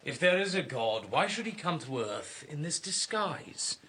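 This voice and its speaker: An actor playing a proud, contemptuous young king in a classical radio drama, with a husky voice and an imperious, declamatory manner. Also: fairly high pitch, big pitch swings, a little slow, bright, forceful tone, heavily acted. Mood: disdainful. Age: young adult.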